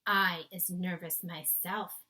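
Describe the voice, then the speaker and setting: Storybook role: gentle, anxious male giant, performed by a woman, quaintly muddled speech, bright tone. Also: fairly low pitch, fairly even intonation, takes it slowly. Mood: nervous. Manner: soft-spoken, quaint muddled grammar. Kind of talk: storybook read-aloud character voice.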